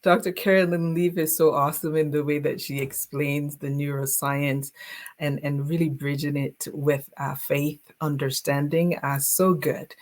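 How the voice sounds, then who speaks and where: A woman's voice: low-pitched, little pitch movement, measured pace. A woman guest in a faith-based talk show, encouraging and laughing often as she speaks in affirmations. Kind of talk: casual faith-based conversation.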